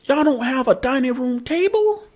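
A man's voice: extremely high pitch, lively intonation, moderate pace. Jumpy young man streaming a horror game. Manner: jumpy, nervous, bursts of loud yelps and laughter. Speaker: gaming streamer. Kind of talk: live horror game commentary. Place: small room with posters behind.

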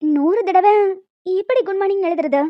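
An extremely high, childlike college newcomer girl in a cartoon, performed by a woman. She is naive and polite, with a pleading, protesting manner. The audio is dry and slightly muffled. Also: lively intonation, normal speed, no echo. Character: naive young female college fresher, polite but protesting. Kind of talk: cartoon story dialogue.

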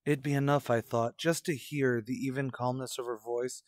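A man's voice: medium pitch, steady tone, normal speed, no room sound, lightly acted. An actor playing a haunted, unravelling young man in an old-time radio suspense drama, with a brooding, dramatic delivery. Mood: weary, subdued.

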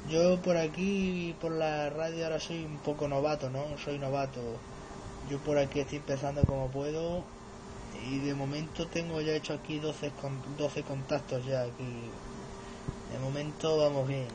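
A teenage male chats casually as a novice radio operator. His voice is a little high and quite flat in melody, recorded with noticeable static and noise behind it. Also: normal speed. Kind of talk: casual radio contact chat. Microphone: camera filming the radio set in room.

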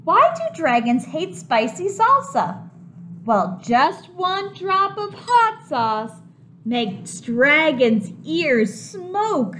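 A young woman with a high, very lively voice reads a children's picture book aloud like a preschool teacher. She takes her time, explaining things simply to little listeners. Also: drawn-out words, slight background noise. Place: home kitchen, indoors.

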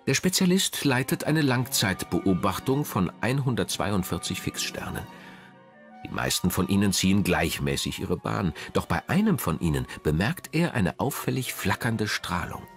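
A man narrating a scripted documentary in a measured, dramatic way. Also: on the low side, sing-song. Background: background music.

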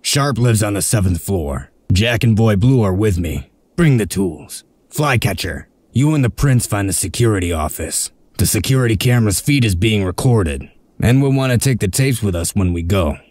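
A man's voice: lowish voice, expressive, normal speed, darkish tone, no room sound. A man voicing a stern, shrewd leader of a covert break-in in a comic dub, giving curt, authoritative orders. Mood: commanding.

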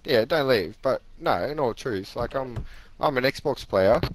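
A man's voice: mid-range voice, lively intonation, measured pace, noisy background. A man in heated voice chat, aggrieved and swearing as he repeats himself over a headset. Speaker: stranger in game party chat.

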